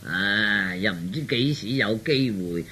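A man's voice: on the low side, animated, takes it slowly, bright, forceful tone, slightly rough, full character voice. A man playing a jovial older drinker in a radio drama, sighing and coaxing in a carefree way. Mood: tipsy, coaxing. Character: jovial older male drinker, fond of his cup. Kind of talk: Cantonese radio drama acting.

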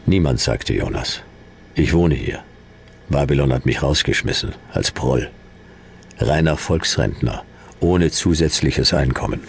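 An actor playing a laconic, weary private detective, narrating and talking in a dry, deadpan way in a radio play. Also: male voice, extremely low pitch, a little slow, creaky, a little hiss or hum, full character voice. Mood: terse.

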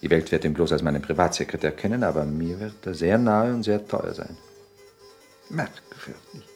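A male actor playing a cynical upper-class lord in a radio play, with polished, measured, condescending delivery. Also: sits low, a little mellow, faint music behind. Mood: smug, musing. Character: suave, cynical upper-class English lord, cool and self-assured. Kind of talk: 1960s German radio play acting.

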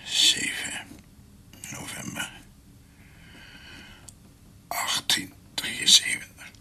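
An actor playing a dying old doctor in a radio drama, weary and in pain, speaking in halting, whispered, broken phrases. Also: male voice, sharp tone, heavily acted. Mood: labored, solemn. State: in pain, struggling for breath.

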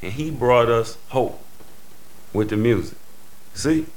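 A man's voice: medium pitch, expressive, slow, faint music behind, poor audio. A middle-aged American man with a Detroit accent, talking bluntly and casually in slangy, profane interview speech. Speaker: music producer interviewee.

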